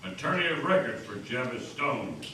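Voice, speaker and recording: A man's voice: medium pitch, very animated, moderate pace, lightly acted. A man playing a bold, unflappable lawyer-orator with a cool, courtly, emphatic delivery in a dramatic reading of a folk tale. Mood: cool, challenging. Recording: echoey hall, distant mic, noisy.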